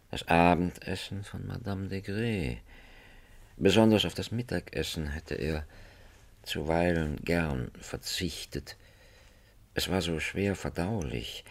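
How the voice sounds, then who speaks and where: Radio drama narrator, super deep n creaky, slow, dry wry storytelling. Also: male voice, exaggerated voice. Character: wry, measured storyteller narrating a satirical tale.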